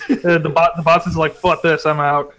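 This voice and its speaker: A man bantering casually in a voice chat, excitable and teasing, on a slightly noisy connection. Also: on the high side, moderate pace. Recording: voice chat with noise and clicks.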